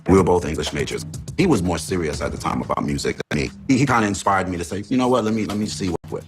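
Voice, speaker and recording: A man from Jamaica telling his life story in a documentary interview, relaxed, with many "you know"s. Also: deep voice, quick pace. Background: music bed.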